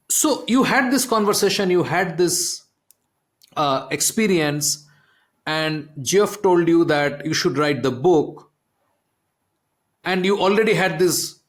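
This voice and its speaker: A middle-aged man, a podcast host, telling a story in an animated way and often asking "okay?". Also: on the high side. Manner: animated, repeats "I mean" and "okay?". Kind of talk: conversational storytelling.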